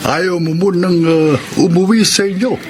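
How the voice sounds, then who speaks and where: An actor playing a kind, wealthy older employer in a radio drama, gentle and fatherly. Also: high-pitched, fairly even intonation, moderate pace, close to an everyday voice. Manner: gentle, fatherly, soft-spoken. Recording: noisy radio drama recording.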